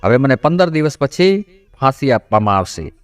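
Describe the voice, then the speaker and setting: A man's voice: medium pitch, sing-song, moderate pace, no room sound, faint music behind, close to an everyday voice. Man voicing a bitter, betrayed servant. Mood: bitter accusing. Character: betrayed loyal male servant, bitter and accusing.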